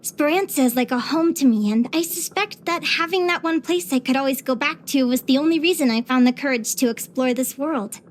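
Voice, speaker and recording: A woman voicing a cheerful, curious young adventurer and naturalist in a video game, with an earnest, expressive delivery. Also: sits high, a little fast, hardly any pauses, slight background noise, natural voice. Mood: earnest, reflective. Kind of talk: video game character voice lines.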